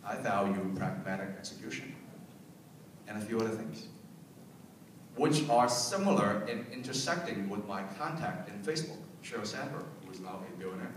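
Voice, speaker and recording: Low-voiced man speaking in an echoey hall. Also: expressive, normal speed, a little hiss or hum. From Hong Kong. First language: Cantonese. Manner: emphatic, repeats key points, asks the audience to respond. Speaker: keynote speaker. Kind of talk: keynote speech to parents and students. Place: event hall. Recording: very echoey hall, mic at a distance.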